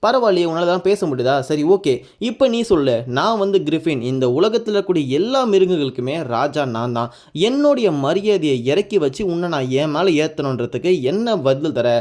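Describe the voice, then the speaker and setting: A man's voice: fairly high pitch, very animated, brisk, a little mellow. A man voicing a proud griffin, king of all beasts, boastful and challenging, in an anime story retelling.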